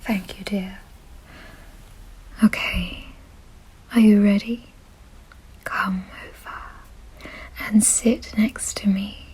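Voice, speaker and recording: Breathy, whispering vampire lady, acted by a woman. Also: mid-range voice, fairly even intonation, heavily acted, low-quality recording. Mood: coaxing, soothing. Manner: gentle, reassuring, genteel, unhurried. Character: kind, doting aristocratic female vampire owner. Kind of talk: whispered ASMR roleplay.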